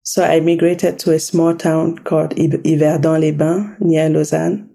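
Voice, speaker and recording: Interview guest, woman from Cameroon, mellow and even, recounting her past with quiet emphasis. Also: low voice, moderate pace, creaky. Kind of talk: personal storytelling in interview.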